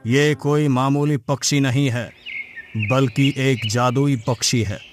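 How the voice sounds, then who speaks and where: Casting: male actor as wandering miracle-working sage, medium pitch, slow preachy authority, music underneath, children's cartoon. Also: fairly even intonation, lightly acted. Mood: wise, reassuring. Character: mysterious wandering male holy man, miracle-working, stern, assured. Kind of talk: children's cartoon story dialogue.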